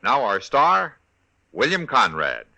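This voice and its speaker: A man announcing scripted credits in formal, measured 1950s radio style. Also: medium pitch, huge pitch swings, creaky, very noisy.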